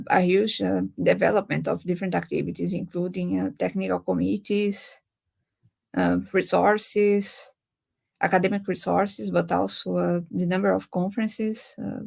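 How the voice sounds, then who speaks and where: A young woman from Brazil speaks in English with a Brazilian Portuguese accent on a webinar panel. Her voice carries a strong vocal fry, and she keeps stopping to search for words. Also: medium pitch, darkish tone. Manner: hesitant, many filler sounds. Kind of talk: webinar talk.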